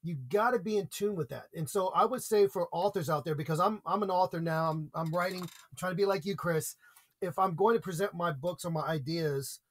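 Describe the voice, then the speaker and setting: A man's voice: sits high, fairly quick. An American man from a podcast interview, earnestly explaining public speaking with examples and repeated points. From United States.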